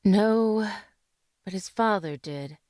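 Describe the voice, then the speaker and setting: A woman's voice: medium pitch, takes it slowly, darkish tone, no room sound, clean studio sound, close to an everyday voice. A woman playing a spirited, clever doctor's wife in an old-time radio style drama. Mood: playful, proud. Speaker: actress.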